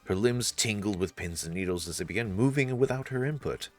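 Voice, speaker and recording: A man's voice: on the low side, expressive, fairly quick. A man narrating a visual novel in a storytelling style. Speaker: narrator and let's-play reader. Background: faint music.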